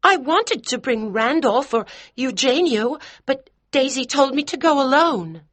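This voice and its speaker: A man's voice: very high voice, expressive, a little slow, a bit bright, voice pushed up, full character voice. A man voicing a nervous, chatty middle-aged mother who rambles hopefully.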